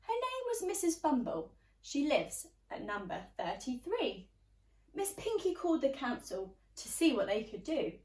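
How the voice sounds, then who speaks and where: Woman, children's storybook reader, lively swooping pitch, teacherly, expressive, slightly distant from the microphone. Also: a little slow, echoey room. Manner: expressive, addresses young listeners with questions and instructions. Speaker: teacher reading aloud to children. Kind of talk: animated children's storybook read-aloud.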